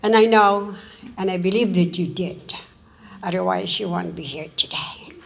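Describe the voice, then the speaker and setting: A woman with a soft voice giving a sermon and leading a spoken prayer, earnest and halting, often repeating "oh Lord". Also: fairly low pitch, gravelly, slight background noise. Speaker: preacher.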